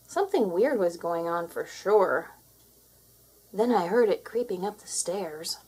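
Woman, children's read-aloud, very animated pitch, slightly breathy husky voice, dramatic storyteller. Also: a little slow. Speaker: storybook reader.

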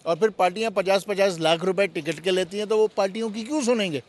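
Pakistani male, 50s, high-pitched, emphatic and rhetorical interviewee, handheld mic outdoors. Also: fast talker. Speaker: interviewed politician. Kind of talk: political interview, emphatic argument. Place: outdoors, parliament forecourt. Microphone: handheld interview microphone.

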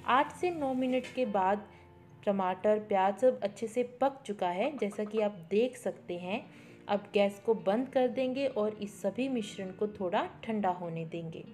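A woman's voice: medium pitch, a little mellow. A woman giving a step-by-step instructional cooking voice-over, mixing in English words. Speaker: cooking show host. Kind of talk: recipe tutorial voice-over.

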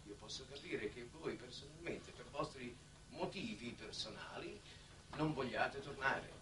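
A man's voice: mid-range voice, expressive, a little slow, distant mic, lightly acted. An actor playing an earnest, insistent statesman in a 1960s Italian television drama, speaking in a formal, oratorical way. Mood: solemn persuasion. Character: earnest, insistent diplomatic statesman on a space mission. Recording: echoey, noisy old 1960s TV soundtrack.

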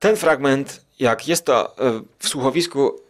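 A male podcast host talks in a casual, rambling review style. He muses aloud and argues back and forth with himself, in a voice of middling pitch. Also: a little slow.

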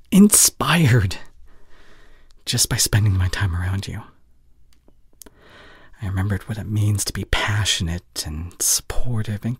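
A young man playing a caring, heartbroken boyfriend in an intimate audio roleplay, speaking haltingly and earnestly, often dropping to near whisper. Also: lowish voice, a little mellow, husky, dry recording, clean studio sound. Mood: tender, earnest. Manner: halting, earnest, pausing mid-sentence. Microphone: close studio condenser mic.

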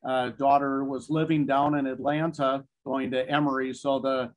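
A middle-aged company executive speaking evenly as a guest on a casual video-call interview. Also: male voice, mid-range voice, level tone, normal speed. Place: office room with a wall map, indoors. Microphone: video call webcam.

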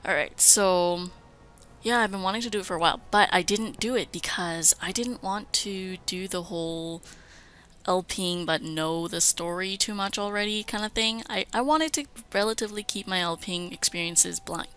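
Woman, bright forceful voice, casual gaming commentary, enthusiastic, chatty, music behind. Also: medium pitch, moderate pace, smooth. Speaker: gaming host. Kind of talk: casual let's play commentary.

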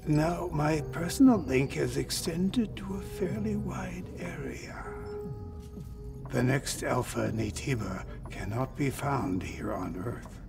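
A man's voice: mid-range voice, expressive, takes it slowly, vocal fry, distant mic, music in the background. A man playing a solemn old android leader in a video game, speaking in a grave, cryptic, formal way. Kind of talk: cinematic video game dialogue.

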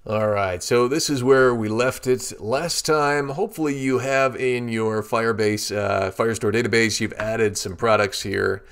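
A man teaching a coding tutorial, explaining each step in a steady walkthrough while he works at his computer. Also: medium pitch, unhurried, hardly any pauses, clear, resonant tone. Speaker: programming tutorial instructor. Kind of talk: screen-recorded coding walkthrough.

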